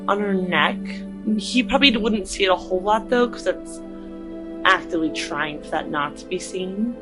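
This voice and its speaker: A woman player describing her character in a hesitant way with pauses and fillers. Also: mid-range voice, rough recording.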